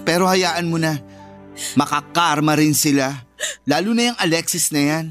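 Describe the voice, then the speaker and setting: A high-voiced, sassy cousin in a radio drama, with a Filipino accent. The talk is slangy, emphatic and fiercely loyal, over faint music. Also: male voice, a little slow, fairly bright tone. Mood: indignant, protective.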